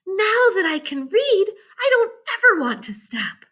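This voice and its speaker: A woman's voice: very high-pitched, very animated, a little slow, fairly bright tone, voice pushed up, exaggerated voice. A woman voicing a spirited, headstrong young farm girl in a storybook, speaking earnestly and emphatically. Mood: grateful, delighted.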